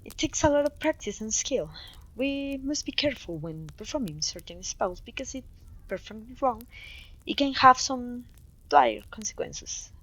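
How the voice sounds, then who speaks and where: A woman's voice: a little high, expressive, unhurried, fairly bright tone, low-quality recording. A woman with a Mexican accent playing a clumsy, cheerful witch teacher in an ASMR roleplay, theatrical and genteel in her encouragement. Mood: gentle, instructive. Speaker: voice actor.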